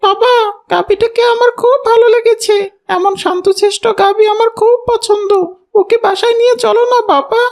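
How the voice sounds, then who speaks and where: A woman voicing a gentle young village boy in a cartoon, earnest and pleading in a childlike way. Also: very high-pitched, fairly even intonation, normal speed. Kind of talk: cartoon voice acting.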